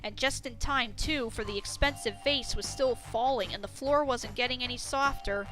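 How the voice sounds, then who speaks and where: A woman reading a scripted story as narrator, with an expressive, dramatic storytelling delivery. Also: on the high side, moderate pace, over music, low-quality recording.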